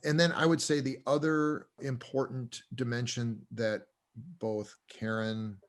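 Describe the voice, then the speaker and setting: An older man speaking at an ordinary pitch, rambling through his answers with plenty of fillers and restarts. He chuckles now and then as he talks, in a relaxed interview setting. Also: unhurried. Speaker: co-owner and professor. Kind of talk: casual interview talk.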